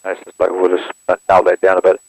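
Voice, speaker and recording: Low gravelly New man explaining. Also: a little fast, very noisy. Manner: steady, plain explanations with step-by-step counting. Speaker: instructor demonstrating an aircraft radio. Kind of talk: hands-on equipment walkthrough. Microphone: aircraft intercom headset.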